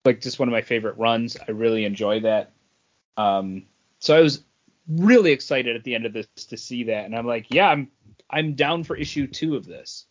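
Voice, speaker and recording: A man co-hosting a podcast and discussing things in a casual, conversational style. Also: medium pitch. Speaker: comics podcast co-host. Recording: dull lo-fi audio.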